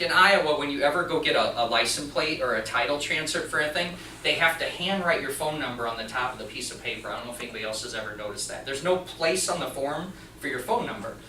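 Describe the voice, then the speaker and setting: Bright-voiced man speaking in an echoey room. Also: mid-range voice. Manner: animated storytelling, acting out dialogue. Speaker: speaker and channel host. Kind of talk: informal instructional talk with anecdotes. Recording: roomy echo, distant mic, faint noise.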